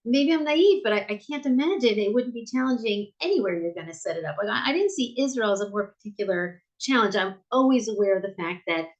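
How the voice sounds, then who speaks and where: A woman's voice: medium pitch, lively intonation, quick pace, hardly any pauses, lo-fi sound. A middle-aged American woman, a bookstore owner, answering interview questions in a chatty way with frequent restarts and fillers. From United States.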